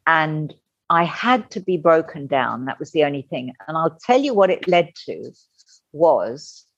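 A middle-aged woman telling her personal story as a podcast guest, candid and animated, acting out the voices she quotes. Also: sits low, measured pace, slightly rough, dry recording. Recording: dull lo-fi dry sound.

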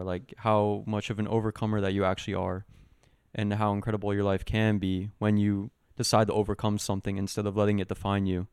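A man's voice: fairly low pitch, a little mellow, clear, resonant tone, dry recording, studio quality. A young man hosting a podcast, talking in an earnest, encouraging, casual way with a lot of "like". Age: young adult. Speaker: podcast host.

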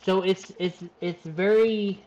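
A man with a fairly high voice for a man talks in a relaxed group chat. He hesitates often and fills his pauses with "like" and "uh.". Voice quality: higher-pitched for a man. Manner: hesitant, filler-heavy. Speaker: streamer. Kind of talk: casual chat.

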